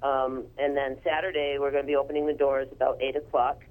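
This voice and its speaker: A woman's voice: extremely low pitch, flat delivery, fairly quick. A woman speaking over a telephone line as an interview guest, talking casually and informatively about what she organizes.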